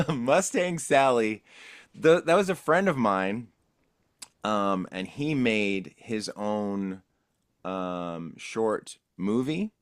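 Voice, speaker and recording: A man with a notably deep, low-pitched voice talks through his old voice-acting gigs in a loose, rambling, easygoing manner. The sound is dry and close, with no room echo. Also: lively intonation, extremely slow. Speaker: voice actor guest. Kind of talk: casual interview recollection.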